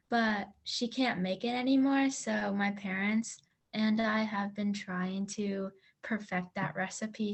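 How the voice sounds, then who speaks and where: A woman taking part in an online workshop, speaking hesitantly with many fillers. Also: mid-range voice. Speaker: workshop participant.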